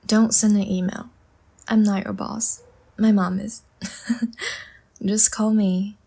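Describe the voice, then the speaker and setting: Slow, breathy, seductive vampire woman played by an actress. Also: medium pitch, little pitch movement, exaggerated voice. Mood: coy, inviting. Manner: soft, coaxing, slow and teasing, often breathy near-whisper. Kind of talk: intimate roleplay audio drama.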